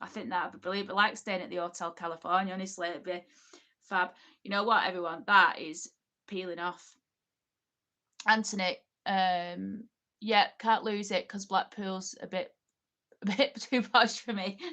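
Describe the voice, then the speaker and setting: A woman's voice: mid-range voice, fairly even intonation, normal speed, bright, forceful tone. Northern English woman with an Oldham accent, host of a casual live chat, rambling and full of asides. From England. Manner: chatty, rambling, many ums and asides. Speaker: livestream host. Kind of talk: casual livestream chat reading comments.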